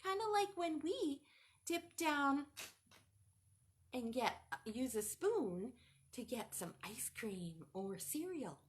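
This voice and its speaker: Woman, storytime host, slow sing-song delivery, swooping high voice, picture book read aloud. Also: slight background noise. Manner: animated, sing-song teacher voice, asks children questions. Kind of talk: reading a picture book aloud to young children.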